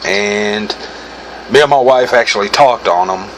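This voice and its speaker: A man's voice: fairly low pitch, unhurried, a bit bright. A middle-aged American man giving a casual, unscripted product review in an informal, rambling, self-correcting way. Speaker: gear reviewer hosting his own video. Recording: clearly noisy background hum.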